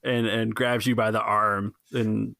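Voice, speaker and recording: A man's voice: fairly low pitch, little pitch movement, measured pace, creaky, bright, forceful tone, no room sound. Casual male game master, dry recording. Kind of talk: casual tabletop game narration.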